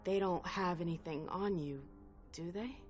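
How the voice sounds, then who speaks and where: An actress playing a calm, protective superior in a video game cutscene, steady and reassuring. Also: female voice, on the low side, unhurried, close to an everyday voice. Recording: music behind.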